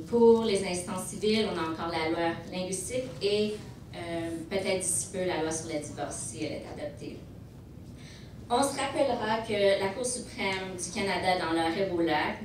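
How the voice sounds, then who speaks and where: Lawyer, woman, fairly low voice, steady and deliberate with frequent hesitations, heard from a distance in a reverberant banquet hall with background noise. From Montreal, Quebec. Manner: measured, with frequent hesitations and restarts. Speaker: lawyer presenting at a conference. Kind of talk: prepared legal presentation.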